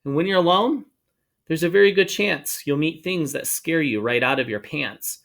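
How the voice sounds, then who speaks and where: A man reading a rhyming children's book aloud to schoolchildren in an expressive, sing-song way, drawing out words for emphasis. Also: on the high side, moderate pace, gravelly. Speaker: storybook reader for schoolchildren.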